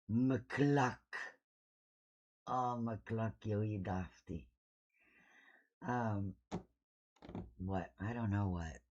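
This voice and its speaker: A woman's voice: rumbling low, darkish tone. A woman hosting a casual livestream, a bit sniffly from allergies, rambling informally with self-deprecating asides. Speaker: livestream host, art hobbyist.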